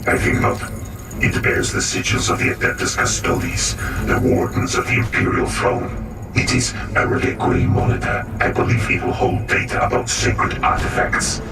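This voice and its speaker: An actor playing a resolute, devout space marine squad leader in a video game, solemn and commanding, in a heavily echoing space. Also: male voice, moderate pace, pushed and bright, close to an everyday voice. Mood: intrigued, solemn. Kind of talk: video game cinematic acting. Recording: very echoey, distant, music behind.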